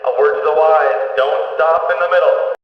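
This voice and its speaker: A man voicing an excitable, jokey race announcer in a video game, with a booming showman's delivery and drawn-out words. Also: faint music behind, lightly acted. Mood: wry, rousing. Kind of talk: arena sports announcing, scripted game voice lines. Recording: echoing PA in a big venue, distant, dull.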